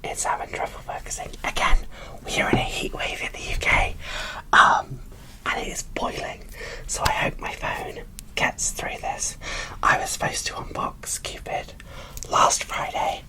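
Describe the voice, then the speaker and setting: A woman from the UK whispering softly and breathily as she hosts an ASMR unboxing, in an unhurried way. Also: far from the mic, poor audio. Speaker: host of an ASMR doll unboxing channel.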